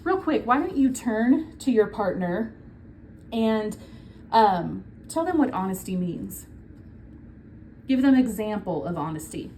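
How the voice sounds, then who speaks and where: A woman's voice: mid-range voice, sing-song, a little slow, pauses often, warm tone, slight background noise. A woman reading a children's book aloud like a teacher, explaining simply and prompting her listeners with questions.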